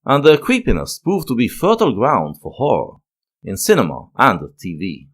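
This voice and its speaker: A man's voice: medium pitch, huge pitch swings, normal speed. A man narrating a scripted analytical video essay.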